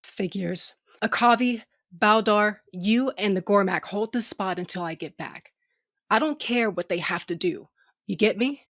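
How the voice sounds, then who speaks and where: A mid-pitched, take-charge woman of the space lanes. She tells her story in a space audio drama with brash, casual, wry toughness. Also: unhurried, a bit bright. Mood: brisk, commanding. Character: tough, wry spacefaring aunt and crew leader. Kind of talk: first-person audio drama narration.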